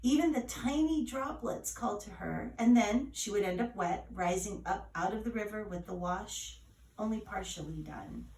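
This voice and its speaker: A woman reading a legend as scripted storytelling, with measured, dramatic phrasing. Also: medium pitch, a little mellow. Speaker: author reading her retold legend. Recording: roomy echo, distant from mic.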